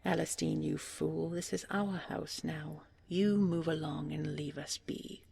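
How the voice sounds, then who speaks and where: A woman's voice: low voice, fairly even intonation, unhurried, vocal fry, a little mellow, breathy edge, exaggerated voice. A woman voicing a bossy, scornful eldest brother in a fairy tale, mocking and dismissive.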